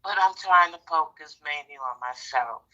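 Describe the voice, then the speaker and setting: A woman's voice: low-pitched, normal speed, pushed and bright. A woman in her fifties from Harlem with a New York accent, calling in and talking in a subdued, confiding way. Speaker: caller. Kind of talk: phone-in conversation. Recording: tinny phone line.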